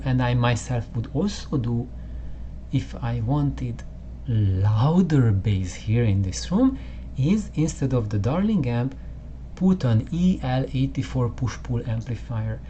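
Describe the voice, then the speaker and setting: A man hosting a home audio channel, explaining casually in a halting way with many restarts, in a room with poor acoustics. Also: medium pitch, expressive, warm tone, highs cut off, a little hiss or hum. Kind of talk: casual explanatory talk to camera.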